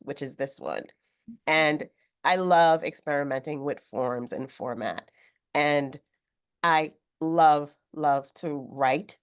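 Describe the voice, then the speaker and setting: A woman with a notably low voice speaks on a video call from her home. She is slightly hoarse from a cold and talks in a thoughtful, unhurried way with occasional fillers. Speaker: author guest. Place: home room with sewing machine. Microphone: video call webcam.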